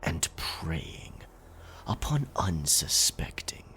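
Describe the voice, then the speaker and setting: A man playing a dominant, predatory werecat pirate captain with a stage pirate accent, telling a scary story in a theatrical, gravelly ASMR roleplay style. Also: very deep voice, sing-song, bright, forceful tone, full character voice. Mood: sly, ominous. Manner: slow, theatrical, breathy whispering that swells into growled taunts.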